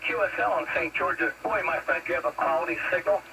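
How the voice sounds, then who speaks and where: American male radio hobbyist, fifties, creaky voice, folksy and formal on air, heard through a noisy CB transmission. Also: fairly high pitch, fast. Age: 50s. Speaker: CB radio operator. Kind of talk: casual two-way radio chat. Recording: noisy CB radio signal, distant.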